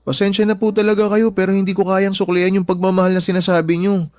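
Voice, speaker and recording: A man's voice: high-pitched, flat delivery, fast talker, a little mellow, lightly acted. A man playing a humble, loyal young employee in a Tagalog radio drama, polite, hesitant and pleading. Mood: apologetic, firm. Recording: music bed behind the voice.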